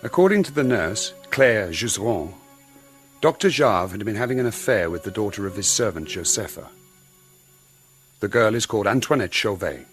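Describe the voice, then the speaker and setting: A man playing a sober reporter, giving a measured, matter-of-fact account in a radio drama. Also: on the low side, animated, soft background music, lightly acted. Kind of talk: scripted news-report narration in radio drama.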